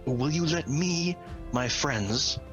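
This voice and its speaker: A man's voice: on the high side, lively intonation, highs cut off, over music. Man acting stern, commanding bird-folk warrior. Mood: menacing. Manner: grave, imperious, deliberate.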